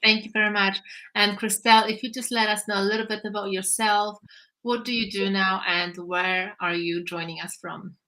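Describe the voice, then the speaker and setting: A woman's voice: mid-range voice, fairly even intonation, moderate pace, sharp tone. A woman hosting an online workshop over a video call, speaking with formal, enthusiastic welcomes. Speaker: workshop host.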